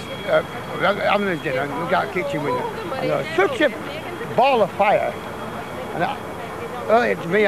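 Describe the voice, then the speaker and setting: A man's voice: high voice, vocal fry, poor audio. A man describing as an eyewitness what he saw, rambling in broken phrases outdoors. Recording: crowd noise outdoors.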